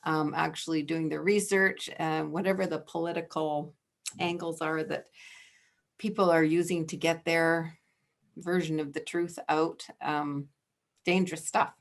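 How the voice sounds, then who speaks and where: Woman guest, kinda gravelly and lowish, stops and restarts a lot. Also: steady tone. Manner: halting, many restarts and fillers. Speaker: interview guest, network director. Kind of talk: conversational interview, storytelling.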